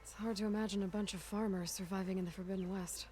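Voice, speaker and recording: An actress playing a level-headed, inquisitive female adventurer in a video game, speaking in a measured, probing way. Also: medium pitch, steady tone, warm tone, faint music behind, close to an everyday voice. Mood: curious.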